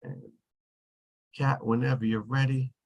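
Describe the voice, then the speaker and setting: Man, host, casual chat, introducing guests, medium pitch, video call. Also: steady tone, measured pace, muffled recording, slight background noise.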